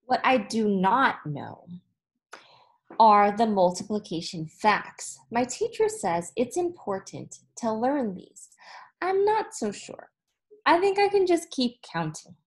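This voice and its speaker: A woman in her thirties, a teacher reading a storybook aloud to children with deliberate phrasing and expressive emphasis. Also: on the high side. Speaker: elementary school teacher. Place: small indoor room with whiteboard.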